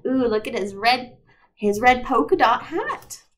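A young woman hosting a toddler storytime in an encouraging, sing-song, expressive manner. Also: on the high side, a little slow. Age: young adult. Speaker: library storytime host. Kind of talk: toddler storytime with songs and rhymes. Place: indoors in front of a decorated backdrop.

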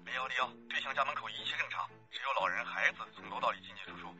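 An actor playing a tense plainclothes police officer reporting from a stakeout over police radio, in a radio drama. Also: male voice, mid-range voice, racing, pushed and bright, lo-fi sound, faint music behind. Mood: alert.

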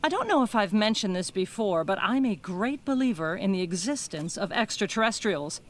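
Cartoon cat news anchor, female, played by a woman: medium pitch, gullible, teasing, presenter polish. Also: big pitch swings, normal speed, close to an everyday voice. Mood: bright newsreader. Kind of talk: cartoon news broadcast parody.

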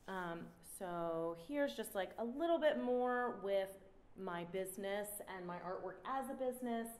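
Woman speaking in a roomy gallery. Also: mid-range voice. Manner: relaxed, reflective, many "um" pauses. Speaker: artist giving a talk. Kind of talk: presenting her artwork with slides. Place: indoor gallery room before an audience. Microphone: standing mic on a stand. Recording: roomy gallery sound, faint noise.

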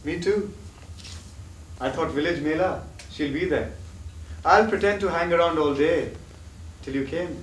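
A high-voiced young man in love, defiant and passionate, played by a male actor on stage. He is heard from far off in an echoey theatre. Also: normal speed, pauses often, natural voice. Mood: casual. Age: young adult. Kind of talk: projected stage acting. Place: theatre stage before an audience. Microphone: far camera. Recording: distant, echoey theatre recording with noise.

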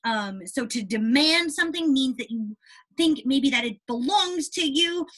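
A woman's voice: high voice, lively intonation, unhurried, fairly bright tone. A young woman teaching a read-aloud lesson to young children, speaking expressively, stressing and repeating key words and checking in often. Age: young adult. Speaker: elementary school teacher. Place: small indoor room with a whiteboard.

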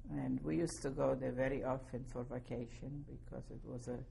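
A woman in her seventies from Poland, giving oral history testimony slowly and carefully, spelling out names. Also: a bass voice, little pitch movement, gravelly, dark tone, slight background noise, rough recording. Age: 70s. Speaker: interviewee.

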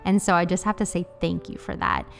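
A woman's voice: fairly low pitch, flat delivery, fairly quick, vocal fry, faint music behind. A woman in her thirties giving an earnest, encouraging voice-over for her cleaning vlog. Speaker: cleaning vlogger.

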